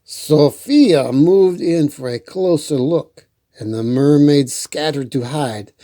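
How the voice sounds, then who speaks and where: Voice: medium pitch, slow, dark tone, no echo, studio quality. A children's storytime host reading a picture book aloud expressively, with playful asides to the listeners.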